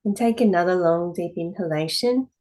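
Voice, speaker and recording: A woman teaching yoga, giving calm, measured guided meditation instruction in short phrases with pauses between them. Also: fairly low pitch.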